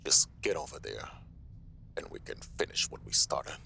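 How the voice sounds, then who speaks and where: A male actor voices a gloating mobster villain in an audio roleplay. The voice is extremely low and full of fry, with a hard, forceful edge. He taunts slowly and with cold menace. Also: expressive, a little hiss or hum, heavily acted. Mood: smug menace. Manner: slow, mocking, drawn-out menace. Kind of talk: ASMR roleplay acting.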